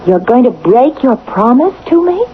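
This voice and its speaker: A woman's voice: on the high side, very animated, normal speed. An actress playing a devoted, reproachful young woman in a 1970s radio drama, earnest and emotional. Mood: reproachful, pleading. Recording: tinny, noisy vintage radio broadcast.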